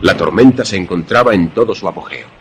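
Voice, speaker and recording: An actor in a suspense radio drama playing a courteous former pupil, speaking in a formal, literary manner. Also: male voice, low-pitched, expressive, fast, loud music behind the voice. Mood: measured, suspenseful. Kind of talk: radio drama narration and dialogue.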